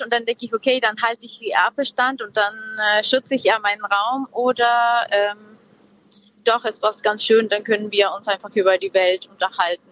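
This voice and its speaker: A young woman talks slowly and reflectively, searching for her words with frequent fillers. Her somewhat high voice comes through dull, lo-fi video call audio. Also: fairly bright tone. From Peru. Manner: reflective, slow, hesitant with many fillers. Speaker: interviewee. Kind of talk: casual conversation.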